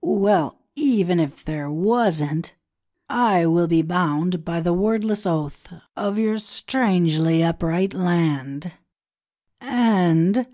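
A proud, scheming priest of ancient Egypt, acted by a woman, speaks very slowly in a low, dark voice. The delivery is grandiose and emphatic, as in a dramatized children's storybook. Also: no room sound, clean studio sound. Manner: grandiose, solemn, emphatic declamation.

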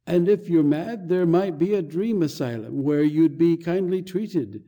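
A dazed, earnest clergyman from a storybook, acted by a woman. The voice is very dark and soft-edged, and it moves slowly and haltingly, as if he is puzzling out his duty. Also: lowish voice, lo-fi sound. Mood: bewildered, troubled. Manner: slow, halting, earnest, bewildered delivery. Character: dazed, muddled, conscientious young male curate.

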